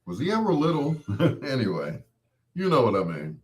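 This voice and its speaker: A man's voice: medium pitch, wildly swooping pitch, moderate pace, darkish tone. A man hosting a show solo, rambling casually to his listeners in a folksy, playful, anecdotal way. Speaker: host of a late-night show.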